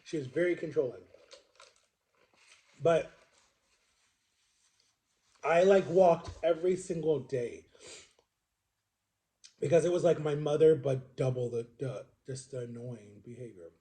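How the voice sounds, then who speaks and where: A man in his thirties with a slightly high but very dark, relaxed tone. He talks to his viewers as if chatting with friends. His storytelling rambles, circling back to correct himself and repeating words for emphasis. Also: unhurried, long pauses. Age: 30s. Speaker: vlogger.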